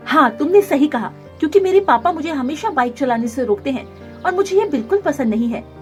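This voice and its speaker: Casting: woman as young female cartoon bird, bold and defiant, high animated voice, music underneath. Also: a little fast. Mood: determined. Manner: assertive, argues back. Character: defiant young female bird who dreams of racing bikes.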